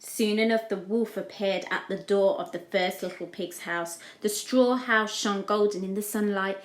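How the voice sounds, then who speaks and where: A woman reading a children's storybook expressively, with deliberate, emphatic phrasing for young listeners. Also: medium pitch. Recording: echoey room.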